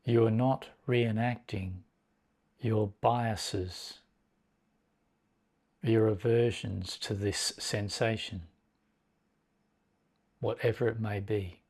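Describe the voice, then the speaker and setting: A man leading a guided meditation as a teacher, speaking in a measured, deliberate way with long pauses between short phrases. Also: lowish voice, steady tone, darkish tone.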